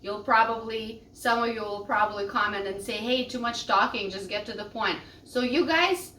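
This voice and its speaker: Chatty woman with a cold-roughened voice. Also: fairly high pitch, moderate pace, slight background noise. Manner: loud, rambling, self-deprecating. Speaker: vlogger and small business owner. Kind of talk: casual chatty vlog. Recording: roomy echo, distant mic.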